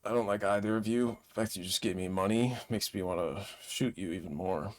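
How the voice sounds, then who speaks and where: A man's voice: fairly low pitch, fairly quick. A man co-hosting a live stream with casual, crude, jokey banter.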